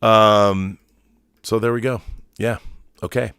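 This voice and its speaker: A man's voice: on the low side, steady tone, slow, fairly bright tone, clear, resonant tone, dry recording, studio quality. A man in his fifties hosting a casual livestream, commenting and reading out messages in an opinionated, emphatic way, repeating himself for emphasis. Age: 50s. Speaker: livestream host.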